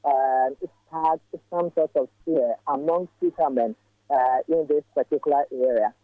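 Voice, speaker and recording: A man reports live from the field, his fairly high voice squeezed through a tinny, dry phone line. He speaks in a measured way, pausing often on fillers as he gives the details. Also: dry recording, soft background music. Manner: measured, with frequent filler pauses.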